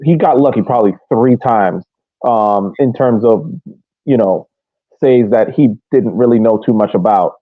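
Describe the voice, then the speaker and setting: A man's voice: on the low side, measured pace, no room sound. A man on a sports podcast panel, giving casual match analysis over a thin, phone-like connection. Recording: thin, dry phone-like line.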